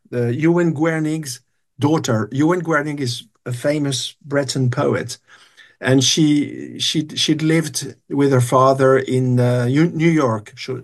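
Older male guest, middle pitch, halting anecdotal delivery. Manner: rambling, hesitant, many restarts and fillers. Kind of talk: storytelling interview.

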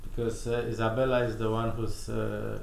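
Gravelly man. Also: fairly low pitch, little pitch movement, a little hiss or hum. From Romania. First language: Romanian. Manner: hesitant, many pauses and fillers, good-humored. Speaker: guest, restaurant owner. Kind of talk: casual interview answers. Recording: roomy sound with faint background.

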